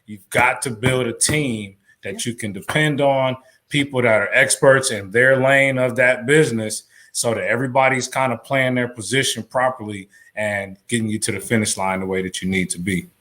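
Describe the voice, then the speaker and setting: A man as a guest on a live talk show, giving advice in a relaxed storytelling way, full of "you know.". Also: medium pitch, moderate pace. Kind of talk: casual advice chat.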